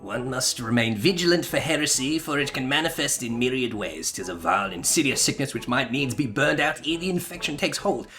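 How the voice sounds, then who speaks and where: A man's voice: medium pitch, expressive, brisk, hardly any pauses, bright, forceful tone, faint music behind. A man playing a pompous, zealous and suspicious inquisitor from a video game, in haughty archaic language. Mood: zealous, irritated. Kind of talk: melodramatic video game dialogue reading.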